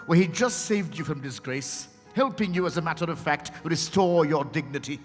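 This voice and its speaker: Casting: man playing a storyteller, measured and dramatic, echoing hall with music underneath. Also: a little high, expressive, lightly acted. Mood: reflective. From India. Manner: measured, theatrical, short weighted phrases. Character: reflective storyteller recounting his own encounter. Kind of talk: dramatized first-person story narration. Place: event hall at a hotel. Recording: echoey hall with music behind.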